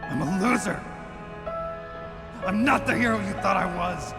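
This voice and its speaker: An actor playing a battle-hardened, self-loathing soldier in a video game, with a gravelly, brooding, anguished delivery. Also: male voice, animated, a little slow. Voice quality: gravelly, husky low voice. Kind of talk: dramatic video game acting. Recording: dramatic music behind the voice.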